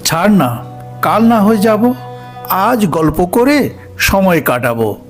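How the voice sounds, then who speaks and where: A man's voice: high voice, big pitch swings, takes it slowly, soft background music, exaggerated voice. A man voicing a polite, skeptical young college student in a cartoon, friendly and easygoing. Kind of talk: cartoon voice acting.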